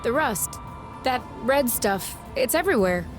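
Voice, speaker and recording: A woman's voice: a little high, lively intonation, a little slow, music in the background. A young woman playing an earnest, stubborn and direct young lover in a dramatic video game. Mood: questioning. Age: young adult.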